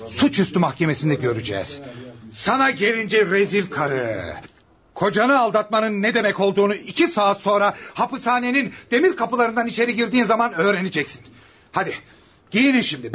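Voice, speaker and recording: A man's voice: high voice, expressive, fairly quick, fairly bright tone. An actor playing a scheming husband in a radio play, formal and falsely courteous as he blackmails. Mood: loud mock indignation. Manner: formal, theatrical, mock-courteous. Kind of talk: Turkish radio theater acting.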